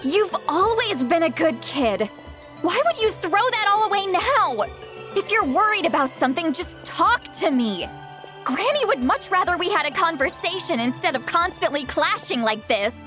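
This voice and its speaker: A woman playing a feisty, scolding granny with a caring streak in a dubbed game, sharp-tongued, exasperated and theatrical. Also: extremely high pitch, very animated, moderate pace. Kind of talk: dubbed video game dialogue. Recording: faint music under the voice.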